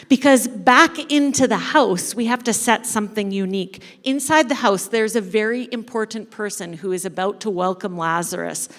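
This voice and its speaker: A woman giving a playful, dramatic live narration of a story, pausing deliberately as she leads an interactive reading. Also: medium pitch, vocal fry. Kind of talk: theatrical live scripted narration of a parable. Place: large indoor church space before an audience. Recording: echoey hall with long reverb.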